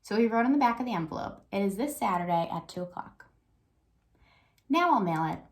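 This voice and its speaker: A woman's voice: mid-range voice, lively intonation, fairly quick. Woman teacher reading aloud to children. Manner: thinks aloud with prompting questions and check-in tags. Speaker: elementary school teacher. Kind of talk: read-aloud reading lesson for young children.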